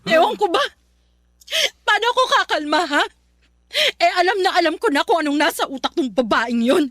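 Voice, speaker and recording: A woman's voice: very high voice, animated, a little fast, a bit bright, no echo, studio quality. An actress playing an anxious, nagging middle-aged mother in a radio drama. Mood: fretful scolding. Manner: fretful, scolding, tearful at times. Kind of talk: Filipino radio drama acting.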